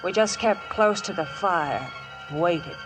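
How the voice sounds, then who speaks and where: A woman's voice: on the low side, expressive, takes it slowly, poor audio. An actress playing a plucky, wistful frontier woman in an old radio western, both narrating and acting her story. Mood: grave, reflective. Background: dramatic music.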